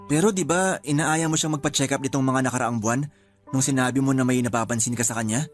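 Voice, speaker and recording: A man plays a caring friend in a Filipino radio drama. He has an average-pitched voice with a gentle, apologetic, reassuring way of talking. Soft music runs behind him. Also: steady tone, quick pace, close to an everyday voice. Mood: sympathetic. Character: caring, apologetic male friend who sees spirits. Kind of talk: Tagalog radio drama acting. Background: background music.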